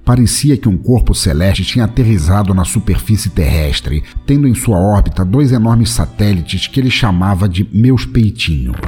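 A man's voice: fairly low pitch, dark tone. A man with a Brazilian accent delivering scripted narration for a comic action story. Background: music.

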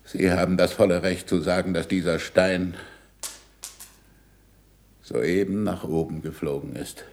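Very deep, gravelly, stern scholar. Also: male voice, steady tone, measured pace, a little mellow. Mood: stern, cutting. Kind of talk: German radio play acting, 1960s.

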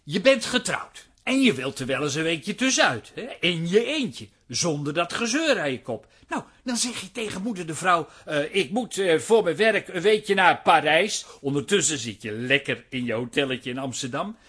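High, husky, excitable hustler. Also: male voice, moderate pace, few pauses, heavily acted. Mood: eager, pitching. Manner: eager, pushy, stammering sales patter. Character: fast-talking, excitable small-time photo studio salesman. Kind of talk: radio comedy drama acting.

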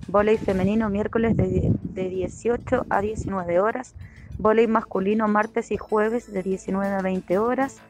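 A woman reads scripted notices item by item. Her pitch is average for a woman. Soft music runs behind the voice. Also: steady tone, moderate pace. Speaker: announcer in a recorded report. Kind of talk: scripted schedule announcement. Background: faint music.

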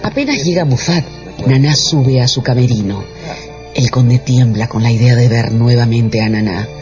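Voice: mid-range voice, normal speed. Radio novel narrator, dramatic scripted storytelling, bit muffled, music behind.

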